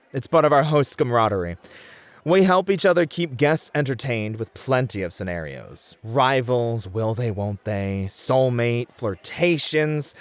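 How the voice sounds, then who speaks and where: A man's voice: mid-range voice, no room sound. Man playing a suave club host, swooping intonation. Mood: amused, teasing. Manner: flirtatious, courtly, teasing. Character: suave, charming, polite male club host mentoring a rookie. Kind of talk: ASMR roleplay acting.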